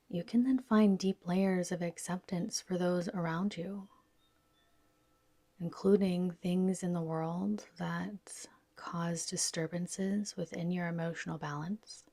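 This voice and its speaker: A woman with a soft, whispery voice gently guiding a yoga nidra relaxation in a soothing, unhurried manner. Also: lowish voice, no room sound. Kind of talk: guided relaxation meditation.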